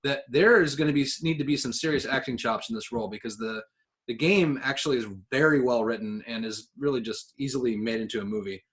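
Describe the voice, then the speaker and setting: A man co-hosting a podcast, talking in an enthusiastic, informal way as he pitches his ideas. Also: fast talker, fairly bright tone. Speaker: podcast co-host. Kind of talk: casual podcast chat.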